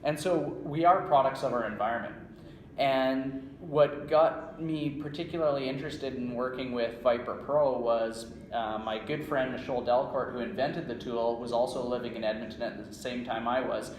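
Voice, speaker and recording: Man, Canadian accent, medium pitch, conversational coach, frequent check-ins, roomy echo, faint background noise. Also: steady tone, normal speed. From small-town Saskatchewan, Canada. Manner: checks in often with "right?". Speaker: strength coach, interview guest. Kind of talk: conversational explanation and storytelling. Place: indoor room at a conference.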